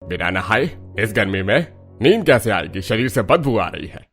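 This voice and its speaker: A man's voice: on the low side, big pitch swings, normal speed, faint music behind. An actor playing a stern, indignant older father-in-law in a cartoon story. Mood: dismayed. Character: stern, aggrieved father-in-law, head of the household.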